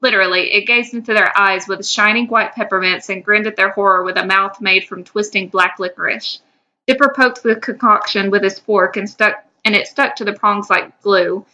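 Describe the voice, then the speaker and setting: Female podcast co-host, bright voice, middle pitch, casual storyteller reading fan fiction. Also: fairly even intonation, moderate pace, rough recording.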